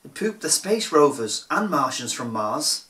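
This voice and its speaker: A man reads a rhyming picture book to children as a storyteller. His delivery is slow and theatrical, with a bright, forward tone. The recording has a roomy echo. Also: a little high, big pitch swings. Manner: theatrical, deliberate.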